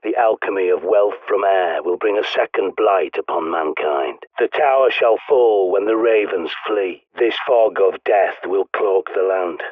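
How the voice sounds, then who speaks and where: Gruff British survivalist over tinny radio. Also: male voice, low voice, measured pace, hardly any pauses, no room sound. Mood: grave, prophetic. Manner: blunt, sweary, commanding. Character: gruff, bossy, paranoid male doomsday survivalist guiding a survivor. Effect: radio transmission filter. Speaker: video game voice actor. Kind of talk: video game character dialogue. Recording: narrow, phone-like radio sound.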